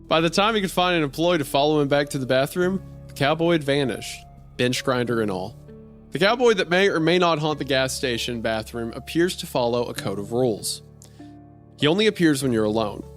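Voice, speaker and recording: A man's voice: a little high, animated, a little fast. A man narrating a strange first-person horror-comedy story in a wry, conversational way. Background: faint music.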